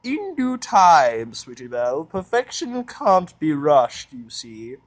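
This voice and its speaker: A man voicing a prissy, haughty, refined female unicorn with affected, drawn-out speech for a story reading. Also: high voice, huge pitch swings, voice pushed up, full character voice. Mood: smug, refined.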